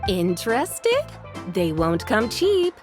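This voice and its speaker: An actress playing a good-natured, wry female shopkeeper in a video game, teasing and expressive. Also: a little high, slow pace, over music, exaggerated voice.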